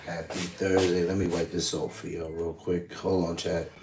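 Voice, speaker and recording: A man's voice: low-pitched, little pitch movement, normal speed, reverberant, far from the mic. A man hosting a casual news reaction live stream, talking straight to his chat, his voice a little scratchy. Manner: chatty, talks straight to chat. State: slightly scratchy voice.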